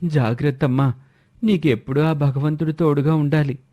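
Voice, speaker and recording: A man's voice: a little high, fairly even intonation, moderate pace, dull audio, lightly acted. A man voicing a concerned, kindly and inquisitive villager in a moral story. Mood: sympathetic. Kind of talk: Telugu moral story character acting.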